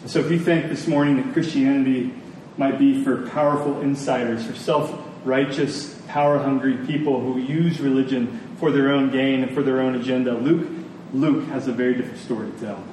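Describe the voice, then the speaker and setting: A man preaching a sermon as a pastor, conversational and earnest, with rhetorical questions and emphatic pauses. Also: mid-range voice, steady tone, a little mellow. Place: large room, preaching to a congregation. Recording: echoey room, distant mic, faint noise.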